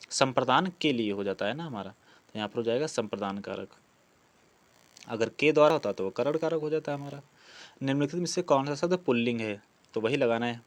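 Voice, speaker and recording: A man teaching a grammar lesson, explaining practice questions step by step, asking questions and answering them himself. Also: medium pitch. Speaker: Hindi grammar teacher for exam preparation.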